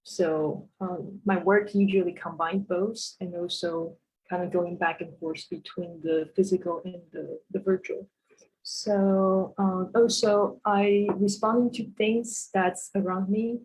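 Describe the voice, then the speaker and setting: A woman's voice: fairly low pitch, fairly even intonation, slow pace, darkish tone, slight background noise, poor audio. A woman from Taiwan presenting her sculpture work on a video call, talking hesitantly with many fillers. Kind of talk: casual presentation.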